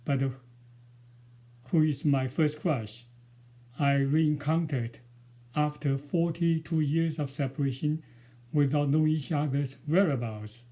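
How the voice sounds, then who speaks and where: A man in his sixties from China, Mandarin his first language, speaking English with a Chinese accent as an author introducing his book, careful and hesitant with many pauses. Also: on the high side, dark tone. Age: 60s. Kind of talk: self-introduction before a book reading.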